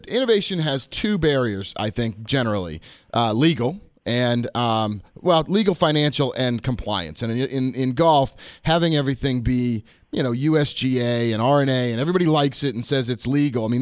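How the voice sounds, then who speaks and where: A man hosting a radio interview, chatty and jokey with lively jumps in pitch. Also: a little fast, dry recording, studio quality. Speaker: radio show host.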